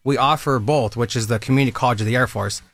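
A man in his thirties talks in a persuasive, friendly way, like a recruiter on a radio interview. His voice sits at a middle pitch. The sound is dry and close with no room echo. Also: quick pace, a bit bright. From Southern California. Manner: upbeat, persuasive, conversational. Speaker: military recruiter guest.

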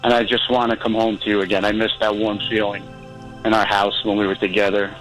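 A man pleading to reconcile with his wife in a replayed radio call, halting and emotional. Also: lowish voice, level tone, a bit bright, soft background music, low-quality recording. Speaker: caller in a replayed segment.

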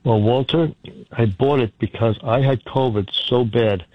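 A man's voice: mid-range voice, dry recording. A male caller who talks in a chatty, rambling way, stumbling and restarting as he goes. His voice comes through a dull, slightly lo-fi line with a dry sound. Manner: chatty, rambling, halting storyteller. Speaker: radio caller. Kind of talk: phone-in conversation.

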